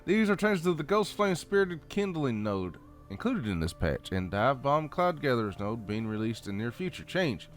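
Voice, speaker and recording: A man with a Southern American accent reads update notes aloud in a folksy, halting way, adding off-the-cuff comments. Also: mid-range voice, big pitch swings, soft background music. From Southern United States. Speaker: gaming channel host.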